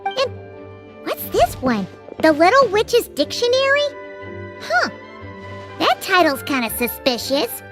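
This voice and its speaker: A woman voicing a tiny, chatty, excitable girl fairy companion who exclaims often and speaks of herself in the third person, in game cutscene dialogue. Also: very high-pitched, full character voice.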